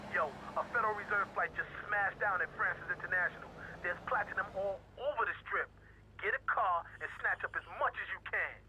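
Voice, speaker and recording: A man's voice: high-pitched, poor audio. An actor playing a brash, streetwise American gang boss in a video game, slangy and urgent as he gives orders.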